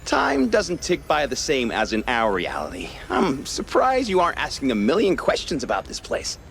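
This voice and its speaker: A man's voice: mid-range voice, wildly swooping pitch, normal speed, gravelly, a bit bright, slight background noise, heavily acted. A man playing a cynical, cryptic war veteran in a cartoon game, sardonic and grumbling. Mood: musing. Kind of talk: cartoon video game acting.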